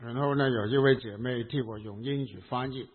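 A man with a Hong Kong accent preaching a sermon in short phrases, pausing between them for an interpreter. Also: mid-range voice, steady tone, slightly rough. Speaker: pastor. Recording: tinny phone-like sound.

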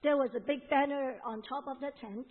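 A woman's voice: high-pitched, steady tone, normal speed. A woman with a Hong Kong accent interpreting a sermon, relaying each phrase with fillers and restarts. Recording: tinny phone-like sound.

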